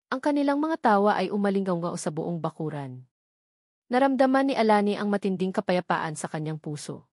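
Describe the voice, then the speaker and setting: A woman's voice: on the low side, a little mellow, no echo. Woman narrating a dramatic story, dry sound.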